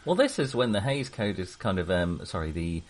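A man chatting casually about films as a podcast co-host, in a halting, rambling manner. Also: deep voice, sing-song. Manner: halting, rambling, often chuckling. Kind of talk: casual film discussion.

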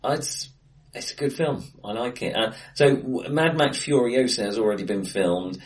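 A man hosting a casual film discussion in a hesitant, self-correcting conversational way, recorded in a bright, echoey room. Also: mid-range voice, moderate pace, far from the mic. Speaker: podcast host. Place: untreated, acoustically bright room, face to face.

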